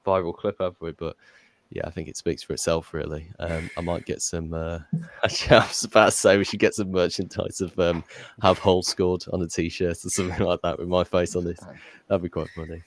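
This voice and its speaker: A man's voice: sits low, level tone, a little fast. A young man hosting a casual podcast, rambling with lots of fillers. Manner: rambling, filler-heavy, chatty. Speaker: podcast host.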